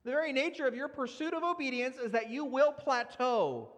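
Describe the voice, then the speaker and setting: A man's voice: extremely high pitch, animated, fairly quick, fairly bright tone. A man preaching a sermon, earnest and emphatic as he works through scripture readings. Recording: long reverb of a large hall.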